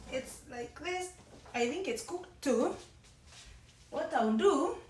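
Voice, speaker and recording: A woman with a Kenyan accent, chatting casually and rambling a little while she cooks in her home kitchen. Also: high-pitched. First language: Kenyan mother tongue. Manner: chatty, rambling, with frequent restarts. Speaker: cooking vlogger. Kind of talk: casual cooking demonstration. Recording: echoey room, mic at a distance.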